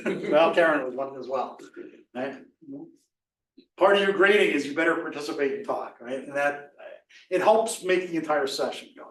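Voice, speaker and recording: A man with a slightly raspy voice and a fairly high pitch talks with enthusiasm, in a loose, conversational way. He sounds a bit distant from the mic, and his voice bounces around an echoey room. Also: fairly bright tone. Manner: enthusiastic, conversational, frequent "right?" asides. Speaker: presenter and instructor. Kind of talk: casual webinar talk.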